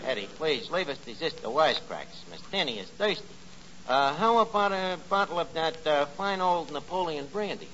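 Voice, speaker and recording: An actor playing a pretentious, dim, lovestruck tavern manager in 1940s radio comedy, mangling highfalutin phrases. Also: male voice, animated, moderate pace, fairly bright tone. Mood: blustering. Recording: muffled 1940s radio recording with hiss.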